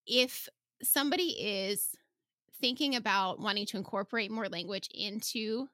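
A woman's voice: medium pitch, normal speed, bright, forceful tone, dry recording, clean studio sound. A woman hosting a podcast interview in a casual, informal way, often checking in with "right?". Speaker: podcast host.

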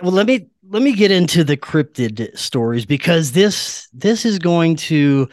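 Higher-voiced man telling stories casually. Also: no room sound, clean studio sound. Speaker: podcast guest. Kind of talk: casual conspiracy and paranormal talk.

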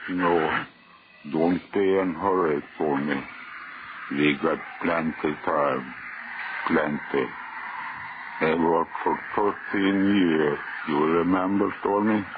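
An actor playing a drowned man's vengeful ghost, slow and mock-friendly, in 1930s radio horror. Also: sits low, fairly even intonation. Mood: taunting. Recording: tinny, noisy 1930s radio recording.

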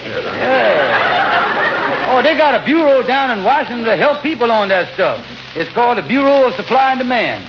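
High-pitched, blustering radio schemer. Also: male voice, big pitch swings, moderate pace, exaggerated voice. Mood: smooth-talking persuasion. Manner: blustering, folksy ungrammatical speech. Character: scheming, glib husband, fast-talking buddy of dubious ideas. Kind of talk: 1940s-50s radio comedy acting. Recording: noisy, muffled old radio broadcast.

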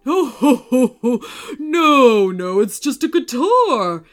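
A woman voicing a jolly, kind old male Santa who laughs a booming ho-ho. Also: big pitch swings, takes it slowly, faint music behind, heavily acted. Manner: booming ho-ho laughter, hearty and loud.

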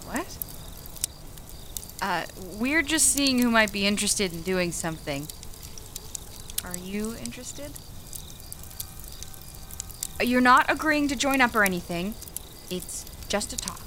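Bright, high-voiced fiery young organizer woman. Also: animated, slow pace, long pauses, slight background noise, heavily acted. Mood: earnest, careful. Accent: American. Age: young adult. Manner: impassioned, persuasive, idealistic. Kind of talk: audio drama acting.

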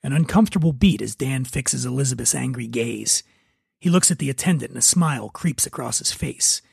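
Gravelly male podcast host. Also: mid-range voice, expressive, brisk, a little mellow, no echo, studio quality. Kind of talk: casual introduction and script narration.

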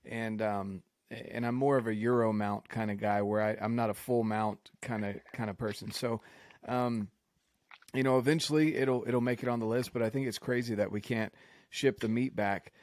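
A man's voice: lowish voice, steady tone, normal speed, a little mellow, no room sound. A man from the United States hosting a casual conversation, enthusiastic, often repeating words as he starts a thought.

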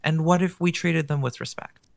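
A man's voice: fairly high pitch, normal speed, vocal fry, dry recording. A man co-hosting a podcast, giving his take on a dating show in a casual, thoughtful way with rhetorical questions. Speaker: podcast co-host.

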